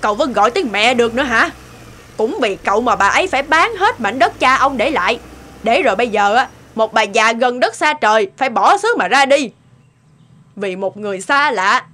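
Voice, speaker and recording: A woman's voice: on the high side, a bit bright. A woman voicing an earnest, emotional elderly woman in a cartoon family drama. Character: earnest, outspoken elderly neighbour and gentle old mother. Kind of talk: animated drama voice acting.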